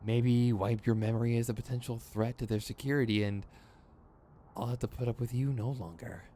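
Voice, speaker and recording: A man's voice: medium pitch, steady tone, a little fast, mellow, slight background noise, lightly acted. A man playing a theatrical, sardonic supervillain in a scripted ASMR roleplay, exasperated and smug, with mock menace and a grumbling, rambling streak. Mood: menacing, resolute.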